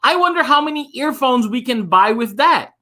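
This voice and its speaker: A man's voice: very high voice, animated, normal speed, sharp tone, pitched above the performer's own voice, heavily acted. A man voicing an eager, imaginative schoolboy in a storybook. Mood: eager, excited.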